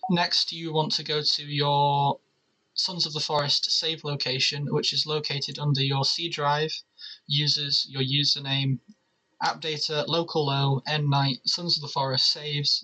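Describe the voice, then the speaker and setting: A man with a fairly high, bright voice presents a gaming tutorial. He talks casually and explains each step in turn, with a crisp edge to his tone. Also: flat delivery, unhurried, rough recording.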